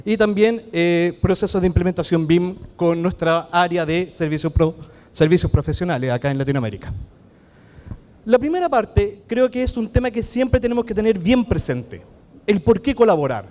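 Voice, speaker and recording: A Chilean man with a Chilean Spanish accent giving a conference talk as a technology consultant, talking fast and checking in with the audience often. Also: high-pitched, expressive, a little mellow. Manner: fast-talking, engages the audience with frequent "¿ok?" tags. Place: large conference hall. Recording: long reverb of a large hall.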